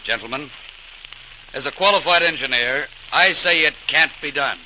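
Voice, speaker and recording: Self-assured skeptic engineer, piercing mid-range delivery, emphatic period radio style, slightly noisy old recording. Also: male voice, a little high, normal speed, pushed and bright. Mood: dismissive. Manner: emphatic, dismissive, formal. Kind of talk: 1940s radio drama acting. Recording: crackly old radio transcription.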